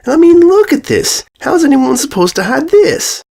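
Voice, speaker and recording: A man's voice: very high-pitched, big pitch swings, normal speed, vocal fry, a little mellow, pitched above the performer's own voice, heavily acted. A man voicing an earnest, outspoken young country schoolgirl pony in a storybook reading, folksy and forthright. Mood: puzzled.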